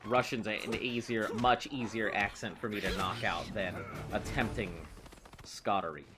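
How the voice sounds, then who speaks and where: A man's voice: fairly low pitch, fairly even intonation, moderate pace, over music. A man giving casual, playful commentary while playing a video game, rambling and chatting with someone in the room beside him. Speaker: let's play host.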